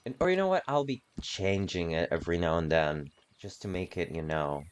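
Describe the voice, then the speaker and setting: A man's voice: low voice, lively intonation, a little fast, clean tone. A man doing casual, rambling gameplay commentary with playful, self-mockingly silly asides as he streams a video game.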